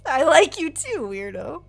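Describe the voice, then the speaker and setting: Young female agent, high-pitched, earnest and unsure of herself, informal and emotional delivery for audio drama. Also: big pitch swings, takes it slowly, creaky, full character voice. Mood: fond, wistful. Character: young woman agent, insecure, earnest, fond of creatures. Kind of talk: naturalistic audio drama acting.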